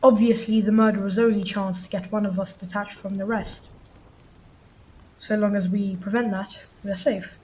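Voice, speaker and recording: A stern, authoritative older gentleman in a radio play, voiced by a woman. The pitch is middling and the wording formal and pompous. Faint noise sits behind the voice. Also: fairly even intonation, normal speed, close to an everyday voice, poor audio. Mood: commanding.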